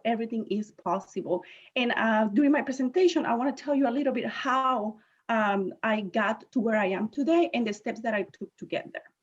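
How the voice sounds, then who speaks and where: A woman in her thirties from Puerto Rico, a native Spanish speaker with a Puerto Rican accent, giving a conversational talk as a guest speaker, often pausing on filler phrases. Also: a little high. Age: 30s. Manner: conversational, leans on filler phrases, self-correcting. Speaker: guest speaker, chemist and inventor. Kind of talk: virtual presentation talk. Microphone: video call. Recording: dull lo-fi call audio.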